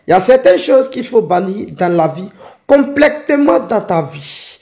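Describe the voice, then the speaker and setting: A man's voice: high-pitched, darkish tone, reverberant. A man giving a motivational talk as an entrepreneur and coach, speaking emphatically and repeating phrases for stress. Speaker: livestock-farming entrepreneur and coach.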